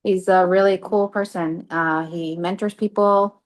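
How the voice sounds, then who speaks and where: A woman's voice: fairly low pitch, steady tone, a little slow. A woman interviewing a guest, chatty and enthusiastic in casual conversation.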